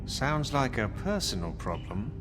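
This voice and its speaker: An actor playing a cocky, wisecracking crook in a video game, sarcastic and taunting. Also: male voice, mid-range voice, sing-song, unhurried. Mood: mocking. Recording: reverberant, loud music behind.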